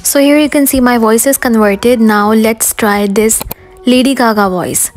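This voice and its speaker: High-voiced woman narrating a software tutorial. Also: a little slow. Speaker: tutorial host. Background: background music.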